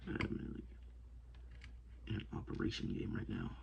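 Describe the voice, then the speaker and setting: A young man vlogging casually while working at a desk, rambling and talking to himself with many hesitations and often dropping to a hush. He sounds sniffly and congested. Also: normal speed. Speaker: outdoors vlogger. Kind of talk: casual hands-on vlog. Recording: noisy home recording.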